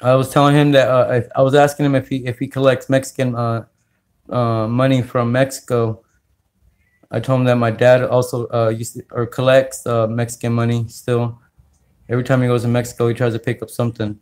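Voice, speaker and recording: Flat-voiced man chatting on a livestream. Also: mid-range voice. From California, United States. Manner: relaxed, halting, many fillers, greets viewers. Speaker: livestream host. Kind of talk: casual livestream chat while sorting coins.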